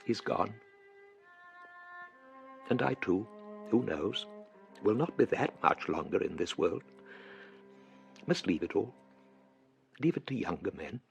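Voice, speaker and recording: An actor playing a gentle, self-doubting elderly English clergyman in a period radio drama, husky and hesitant, musing courteously. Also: on the low side, animated, slow pace, long pauses, muffled recording, faint music behind, heavily acted. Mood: melancholy. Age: older.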